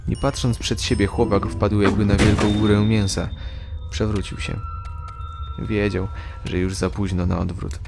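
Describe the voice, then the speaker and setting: Casting: male narrator for scripted fantasy audio drama, low voice, prominent music bed underneath. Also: little pitch movement, a little slow, gravelly. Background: loud music.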